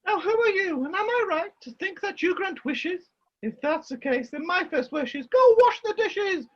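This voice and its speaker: A woman's voice: very high-pitched, lively intonation, moderate pace, lo-fi sound. A woman playing a bossy, fretful washerwoman mother in a rhyming pantomime, exclaiming in a stagey way. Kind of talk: rhyming amateur pantomime acting.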